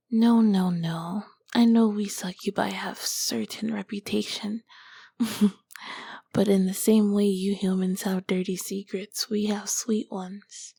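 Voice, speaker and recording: Woman acting as ancient succubus queen, ASMR roleplay, unhurried breathy whisper, tender and doting, very close dry sound. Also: mid-range voice, darkish tone, no echo, heavily acted. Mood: gently playful. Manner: slow, soothing, doting endearments.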